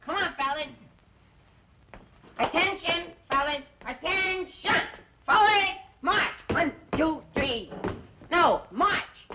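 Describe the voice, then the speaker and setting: A man playing a cowardly, childlike army paratrooper in a slapstick film comedy, with a squeaky, whiny voice and babyish, stammering delivery. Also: animated, slow, lo-fi sound, full character voice. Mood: hapless bossiness. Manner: babyish pleading and goofy stammering. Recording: noisy old film soundtrack.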